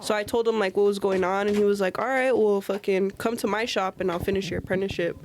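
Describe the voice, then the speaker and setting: A young woman talking as a guest tattoo artist in a relaxed podcast chat. Her speech is loose and slangy, full of "like" and casual swearing, at an ordinary middle pitch for a woman. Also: fast, slight background noise. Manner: loose and slangy, heavy filler "like", swears freely.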